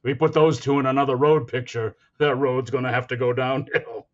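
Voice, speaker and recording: A man's voice: mid-range voice, fairly even intonation, fairly quick, natural voice. A man playing a callous, wisecracking film studio boss with a joking, drawling delivery, in 1940s radio comedy style. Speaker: actor in a radio comedy recreation. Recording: dull, lo-fi sound.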